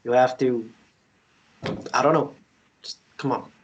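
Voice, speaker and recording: An actor playing a troubled man in a fantasy romance table read, halting and repeating himself. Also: mid-range voice, fairly even intonation, recorded from a distance, dull audio, a little hiss or hum, close to an everyday voice. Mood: urgent. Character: troubled, uneasy man rescuing an injured woman.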